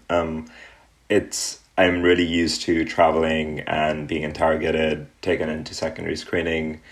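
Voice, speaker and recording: Young male interview guest from Pakistan, extremely low voice, thoughtful and hesitant delivery. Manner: thoughtful, with frequent ums and pauses. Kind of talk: relaxed reflective interview answers.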